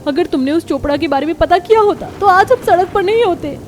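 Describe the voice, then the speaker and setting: A woman's voice: extremely high pitch, animated, a little fast, a little hiss or hum. A woman voicing a spoiled, snobbish young wife in a cartoon, whiny and nagging.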